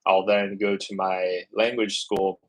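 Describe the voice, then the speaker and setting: A man's voice: sits low, little pitch movement, unhurried, poor audio. A man from the United States chatting casually on a travel livestream, thinking aloud with lots of fillers and restarts. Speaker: travel vlogger.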